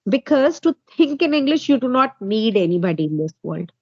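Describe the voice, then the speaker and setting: A woman's voice: on the high side, sing-song, brisk, husky, no room sound, highs cut off. A woman from India, a native Hindi speaker with an Indian accent, answering interview questions as an English tutor and laying out her points one by one. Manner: explains step by step, numbering points.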